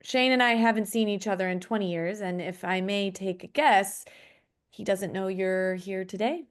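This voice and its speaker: Table read: woman as skeptical middle-aged doctor, cool, medium pitch. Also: normal speed, natural voice. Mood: skeptical, clinical.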